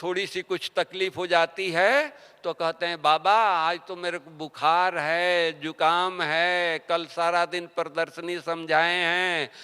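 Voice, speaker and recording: A man giving a devotional sermon, telling stories and asking rhetorical questions, his voice swinging between soft and loud. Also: a little high, clear, resonant tone. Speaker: spiritual teacher. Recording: long hall reverb.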